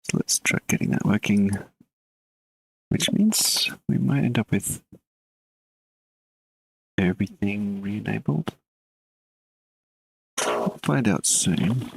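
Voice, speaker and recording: Male game dev, live stream; deep, husky, rambling thinking-aloud delivery. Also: takes it slowly, long pauses, poor audio. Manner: relaxed, mutters while working, chats with viewers between code.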